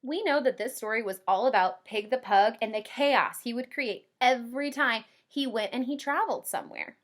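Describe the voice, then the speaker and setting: A woman kindergarten teacher doing an expressive read-aloud for young children, with drawn-out emphasis and simple explanations. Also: a little high. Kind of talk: animated children's read-aloud with comprehension questions.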